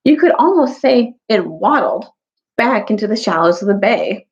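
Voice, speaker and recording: A woman storyteller giving an expressive read-aloud of a children's fantasy novel. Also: medium pitch, moderate pace. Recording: dull, lo-fi audio.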